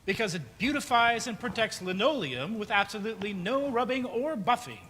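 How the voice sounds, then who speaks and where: A man's voice: high voice, animated, fairly quick. A man playing hearty, pompous characters in an old-time radio comedy, emphatic and theatrical. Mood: smooth salesmanship. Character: hearty announcer and pompous, indignant neighbor. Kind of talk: 1940s radio comedy acting. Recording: roomy hall reverb, noisy background.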